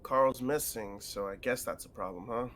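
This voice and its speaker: A man's voice: medium pitch, moderate pace, fairly bright tone, slight background noise, lightly acted. A man voicing a blunt, judgmental young man from a visual novel, speaking in terse, needling questions. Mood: guarded. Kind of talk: visual novel character read aloud.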